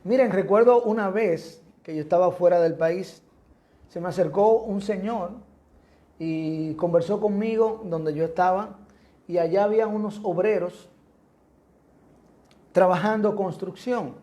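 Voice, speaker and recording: A man's voice: high voice, a little slow, dark tone. A man, a pastor with a Dominican accent, preaching a sermon in an emphatic, repetitive cadence. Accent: Dominican Spanish. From Dominican Republic.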